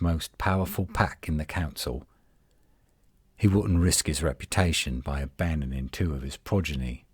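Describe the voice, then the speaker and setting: A man playing a cocky, sardonic werewolf guardian in a roleplay audio, teasing and flirtatious, with a husky, drawling delivery. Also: rumbling low, no echo, clean studio sound, exaggerated voice. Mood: grudging, scornful. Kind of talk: roleplay audio acting, one side of a dialogue.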